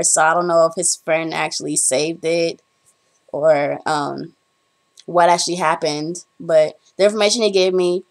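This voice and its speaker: Young female vlogger, lowish voice, chatty and rambling, fillers throughout. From Bahamas. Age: young adult. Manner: chatty, rambling storytelling with frequent ums. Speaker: vlogger, college student. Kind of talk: casual chat to camera.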